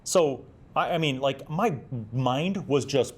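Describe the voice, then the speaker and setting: A man's voice: medium pitch, slow pace, a little hiss or hum. Middle-aged man chatting through a review. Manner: enthusiastic, informal, rambling. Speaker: host of a review series. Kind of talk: casual chatty magazine review, commenting while paging through.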